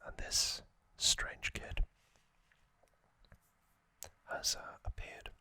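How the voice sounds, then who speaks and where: Slow, whispering male ASMR host. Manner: slow, hushed phrases with frequent pauses.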